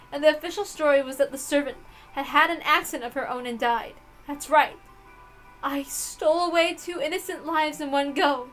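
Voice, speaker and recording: A woman's voice: sits high, low-quality recording. A woman voicing a proud, guilt-ridden mother confessing murder in a dramatic, emotional visual novel read-aloud. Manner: theatrical, emotional, swinging from hushed to impassioned.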